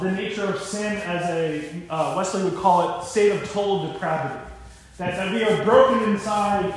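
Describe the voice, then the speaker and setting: Man in his 30s, pastor preaching, high voice, earnest and restarting, very echoey church room. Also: fairly bright tone. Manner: earnest, repeats words while thinking aloud. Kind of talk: sermon. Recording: very echoey room, faint noise.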